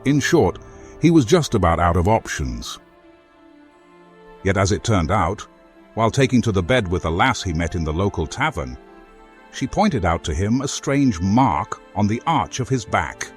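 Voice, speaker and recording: A man playing a courteous, earnest former foe in a fantasy game, speaking formally and deliberately as he offers help. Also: low voice. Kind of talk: video game character dialogue.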